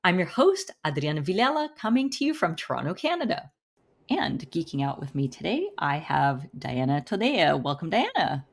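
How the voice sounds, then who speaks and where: A woman's voice: sits low, very animated, moderate pace, creaky. A woman hosting a podcast interview in a bubbly, upbeat way, laughing often. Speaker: podcast host.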